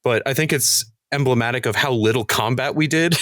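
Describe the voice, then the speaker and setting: A man's voice: medium pitch, fairly quick, a bit bright, no room sound, studio quality. A man talking casually as a cast member in a podcast panel, telling stories with acted-out asides. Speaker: podcast cast member discussing their show.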